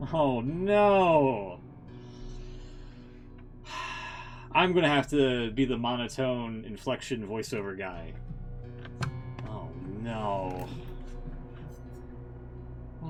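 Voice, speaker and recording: A man's voice: mid-range voice, lively intonation, drawn-out words, long pauses, faint music behind. A man chatting casually as he livestreams a game, muttering wry, sweary asides. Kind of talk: casual stream chat and improvised reading aloud.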